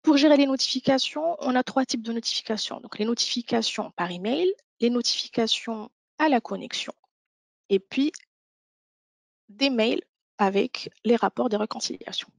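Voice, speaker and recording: A woman's voice: fairly high pitch, normal speed, creaky, dry recording. A woman presenting an instructional webinar walkthrough, explaining each thing step by step. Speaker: software demo presenter.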